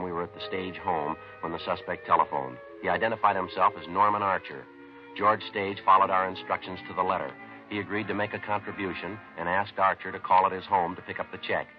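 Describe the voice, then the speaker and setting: An actor playing a terse American police sergeant in a 1950s radio drama, clipped, deadpan and matter-of-fact. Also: male voice, sits low, lightly acted. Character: businesslike, terse male police sergeant detective. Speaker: lead actor and narrator. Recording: dull 1950s radio recording, music behind.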